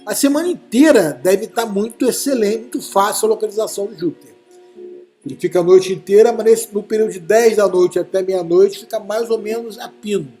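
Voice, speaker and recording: A man's voice: high voice, a little fast, warm tone, soft background music. A man hosting a live stream, casually explaining space news to viewers with many fillers. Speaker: livestream host commenting on space news.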